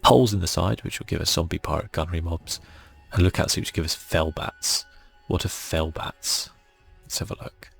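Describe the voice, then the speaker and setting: A man giving soft-spoken ASMR commentary on a video game in a murmured, laid-back way, as if thinking aloud. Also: deep voice, normal speed, vocal fry, breathy edge. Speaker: gaming channel host. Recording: faint music behind.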